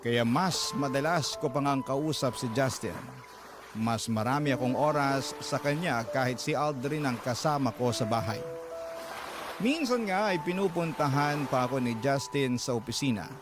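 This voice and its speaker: A man's voice: mid-range voice, normal speed, natural voice. A man narrating a listener's letter in a radio drama, earnest and confiding as he addresses the host. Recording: loud music bed under voice.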